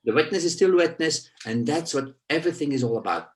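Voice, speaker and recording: A man's voice: fast talker, slight background noise. A man in his sixties from Belgium, speaking English as an in reflective spoken teaching. He searches for words and his voice jumps up on key points. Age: 60s. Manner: animated, pitch leaps on emphasis, searching for words. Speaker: guest, nonduality teacher.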